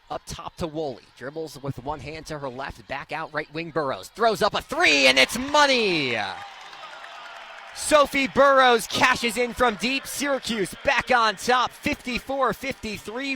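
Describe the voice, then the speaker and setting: A young man does live radio basketball play-by-play in a fairly high, bright, forceful voice. His delivery is excitable and rises with the action. He speaks into a courtside mic, with clear game noise behind him. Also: normal speed. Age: young adult. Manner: excitable, swelling on big plays.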